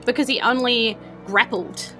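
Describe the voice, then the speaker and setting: A woman's voice: on the high side, a little slow, sharp tone, faint music behind. A woman with a New Zealand accent, casually narrating a tabletop game as game master in a playful, teasing way.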